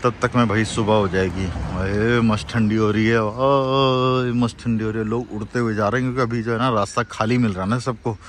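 Thirties male travel vlogger, selfie phone mic, outdoors, slightly low voice, chatty and excitable, light traffic noise behind. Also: brisk, few pauses. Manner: chatty, exclaiming over the scenery. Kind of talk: casual travel vlog. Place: outdoors on a mountain road. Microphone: phone at arm's length.

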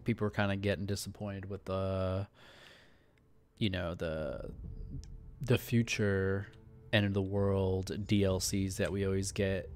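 A man's voice: low-pitched, steady tone, measured pace, a little mellow. A man giving casual, laid-back gameplay commentary, often muttering to himself. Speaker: gaming streamer, let's player. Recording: background noise, keyboard clatter.

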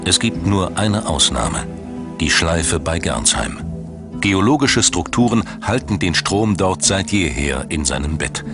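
A man's voice: low voice, animated, measured pace. A man reading scripted documentary narration as a studio voice-over. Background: music.

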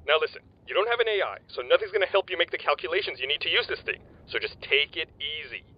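A nerdy, uptight male soldier, fussy and easily exasperated, sarcastic when cornered. His voice is slightly husky and swings widely in pitch. Dull, lo-fi sound. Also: animated, fast.

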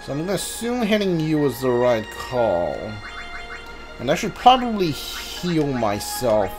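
Male streamer, casual game commentary, slightly high voice, game music behind him. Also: very animated, measured pace. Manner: thinking aloud in short muttered remarks. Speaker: let's play streamer. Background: video game music and sounds.

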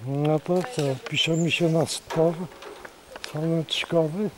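A vlogger chatting casually and calmly while filming outdoors and on a bus, recorded on a handheld camera mic. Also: medium pitch, takes it slowly, rough recording. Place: outdoors at a mountain bus stop, then on a bus. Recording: loud outdoor and traffic noise.

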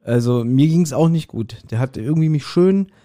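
A man's voice: fairly high pitch, normal speed, dark tone. A man hosting a podcast in casual, joking banter, teasing with a mock-serious manner. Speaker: podcast host.

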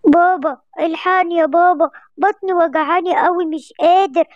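A whimpering scared animal kid. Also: female voice, steady tone, brisk, dry recording, lo-fi sound. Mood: pleading. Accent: Egyptian Arabic. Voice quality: childlike squeaky voice. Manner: pleading and whimpering. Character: scared, sulky little animal kid faking a tummy ache.

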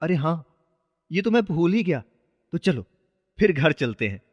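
A man's voice: on the high side, big pitch swings, a little slow, in a large hall, lo-fi sound. A man voicing a poor, kind-hearted young shoe-shine boy, earnest and pleading, in a children's moral story. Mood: happy.

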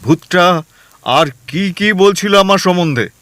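A jittery young husband from a radio play, played by a man, who stammers under stress. His slightly high voice rises and falls a lot. The recording is dry and close. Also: expressive, a little slow, fairly bright tone, no echo. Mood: startled. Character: nervous young husband, easily flustered.

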